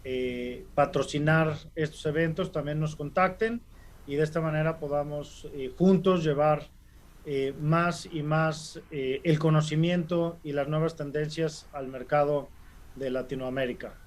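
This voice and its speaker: A man hosts a webinar over a video call. His voice sits a little high for a man, and he talks in a relaxed way, with frequent "eh" fillers. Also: measured pace. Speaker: webinar host. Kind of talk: casual webinar hosting.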